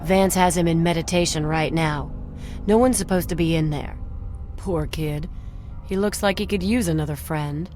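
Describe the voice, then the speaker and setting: A woman's voice: lowish voice, normal speed, a little mellow, faint music behind, lightly acted. An actress playing a coy, teasing young woman of a secretive post-apocalyptic clan in a video game. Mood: soft, sympathetic.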